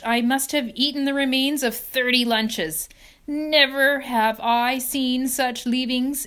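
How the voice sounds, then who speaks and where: A woman voicing a greedy male rat with a husky, hoarse voice and a gloating drawl, reading a storybook. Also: mid-range voice, bright, forceful tone, full character voice.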